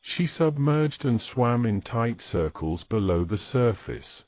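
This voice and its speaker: A man's voice: mid-range voice, lively intonation, slow pace, no room sound, lo-fi sound. A man reading scripted narration as the storyteller of a fantasy audio drama.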